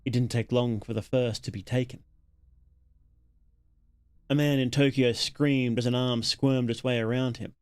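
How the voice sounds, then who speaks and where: A man narrating a horror story as a livestreamer, dramatic and solemn, in deliberate, weighted phrases. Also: medium pitch, fairly even intonation, clear, resonant tone, no room sound, clean studio sound.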